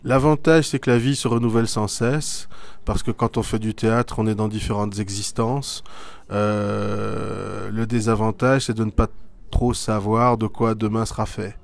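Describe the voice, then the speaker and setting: A man's voice: fairly low pitch, flat delivery, a little slow, gravelly. A middle-aged man from Belgium, a theatre artist and teacher, answering interview questions thoughtfully with many hesitations. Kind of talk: school media interview, reflective answers.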